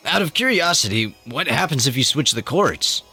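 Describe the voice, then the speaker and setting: Casting: excitable let's-player, animated voice that jumps around, game music behind. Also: male voice, fairly high pitch, normal speed, slightly rough. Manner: excitable, laughs a lot, animated. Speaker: let's play co-host. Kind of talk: casual chat over gameplay.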